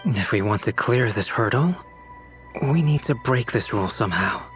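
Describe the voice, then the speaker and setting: An actor playing a composed, analytical young scholar in a fantasy game, speaking formally and precisely. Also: male voice, mid-range voice, expressive, moderate pace, a bit bright, natural voice. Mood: calm, analytical. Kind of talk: scripted game dialogue. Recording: music clearly under dialogue.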